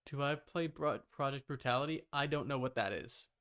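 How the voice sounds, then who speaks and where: A man's voice: medium pitch, brisk, fairly bright tone, smooth. A man chatting casually as a streamer, reacting to a video. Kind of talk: casual livestream chat.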